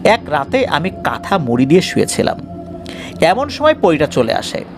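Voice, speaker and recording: A man's voice: fairly high pitch, huge pitch swings, moderate pace, gravelly, music in the background. A man narrating a scripted first-person ghost story in a dramatic way.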